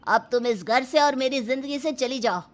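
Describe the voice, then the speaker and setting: An actor playing a domineering, angry husband in a moral-story cartoon, commanding and reproachful. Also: male voice, extremely high pitch, normal speed.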